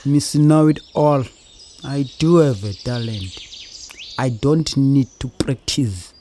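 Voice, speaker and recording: An actor playing a grumpy, self-satisfied dassie in a comic audio play for children, sardonic and unimpressed. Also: male voice, medium pitch, takes it slowly, mellow, a little hiss or hum, close to an everyday voice. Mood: smug, defensive. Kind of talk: musical comedy audio theatre for children.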